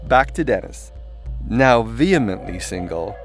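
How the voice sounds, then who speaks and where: A man's voice: medium pitch, expressive, measured pace. A man reading his own irreverent self-help audiobook in a theatrical, cheeky, conversational way, with sweary asides. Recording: voice over background music. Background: composed music and soundscape.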